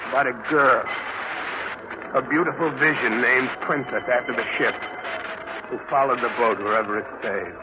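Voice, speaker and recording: A man's voice: medium pitch, moderate pace, pauses often, heavy background noise, close to an everyday voice. An actor playing a weary, skeptical, plain-spoken first mate telling his tale in a 1940s radio mystery. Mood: weary, puzzled. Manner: plain-spoken, slangy storytelling. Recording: muffled 1940s radio recording.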